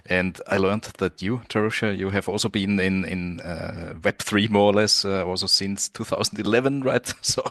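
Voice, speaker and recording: A middle-aged man from Austria, a guest on a podcast interview, explaining in a relaxed way with many fillers and repeated words. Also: low-pitched, normal speed, hardly any pauses, dry recording, clean studio sound. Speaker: guest, tech company founder.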